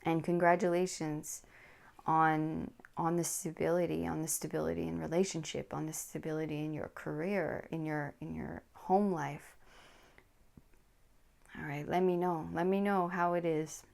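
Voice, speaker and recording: A woman with a low voice giving a tarot card reading, speaking slowly and soothingly and often dropping into a whisper, close to the mic. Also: little pitch movement, creaky, a little mellow. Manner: slow, soothing, drops into whispers. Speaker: tarot reader.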